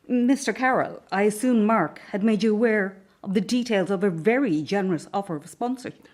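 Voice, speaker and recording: A woman playing a brisk, formal, coolly polite corporate executive in a naturalistic radio drama. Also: medium pitch, darkish tone, lightly acted. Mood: cool, irritated. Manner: formal, businesslike.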